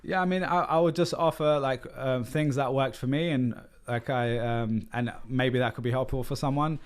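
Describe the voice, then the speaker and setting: A man's voice: medium pitch, steady tone, moderate pace, clean tone. A man in his thirties from London with a London accent, talking candidly as a podcast guest, full of "like" and "um" fillers. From London, England. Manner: candid, rambling, frequent "like" and "um" fillers. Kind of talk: casual interview conversation.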